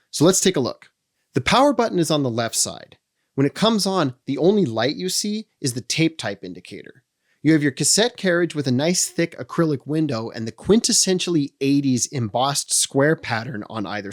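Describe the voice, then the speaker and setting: A man hosting a product walkthrough, explaining features step by step with dry humorous asides. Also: medium pitch. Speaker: host of a how-to channel on second-hand audio gear.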